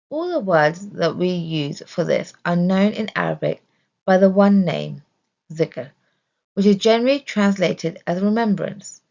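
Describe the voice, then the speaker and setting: Female narrator for religious study audio. Deliberate scripted delivery, some vocal fry, slightly muffled sound. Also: mid-range voice, darkish tone. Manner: measured, deliberate reading. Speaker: reader of religious study text.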